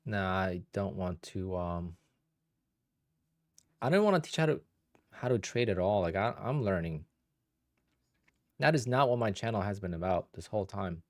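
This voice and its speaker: A man with a low, easygoing voice talks loosely to his live viewers, starting over and wandering as he thinks out loud. He is from Poland and in his thirties. The sound is close and dry, with no room around it. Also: normal speed, pauses often, dry recording. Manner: relaxed, rambling, thinks aloud with restarts. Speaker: day trader streaming live. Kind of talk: casual livestream chat answering viewers.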